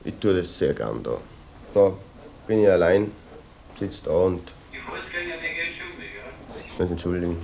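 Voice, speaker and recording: Deep voiced austrian guy from vorarlberg, broody, rambles and stumbles, radio play documentary feel. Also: big pitch swings, gravelly, warm tone, dull audio, heavily acted. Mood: earnest, musing. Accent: western Austrian, Vorarlberg. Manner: halting, rambling, ends phrases with a questioning tag. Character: brooding, obsessive small-town man, earnest amateur thinker. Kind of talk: naturalistic mock-documentary radio drama.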